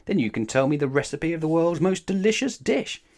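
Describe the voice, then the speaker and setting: A man's voice: on the high side, animated, a little fast, darkish tone, pitched above the performer's own voice. A man voicing a cheerful, greedy food lover from a children's storybook, eager and teasing.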